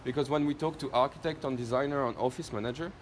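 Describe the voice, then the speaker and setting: A man's voice: mid-range voice, fast. A man with a French accent giving an informal product demo, explaining step by step and often checking that listeners follow. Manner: explanatory, often ends points with checking tag questions. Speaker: company presenter demonstrating a product. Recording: echoey room, loud background noise.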